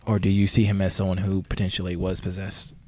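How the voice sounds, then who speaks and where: A man's voice: deep voice, monotone, fast talker, a little mellow. An actor playing an earnest, rambling male podcast host who hesitates and placates his guests in a mock interview. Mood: probing. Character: earnest, apologetic male investigative podcast host, skeptical of spirits.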